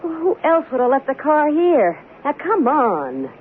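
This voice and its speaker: An actress playing a scheming, coolly commanding wife in radio drama, with a brisk, insistent, persuasive manner. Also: female voice, high-pitched, huge pitch swings, full character voice. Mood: urgent, commanding. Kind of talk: 1970s radio drama acting. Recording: tinny, noisy old radio broadcast.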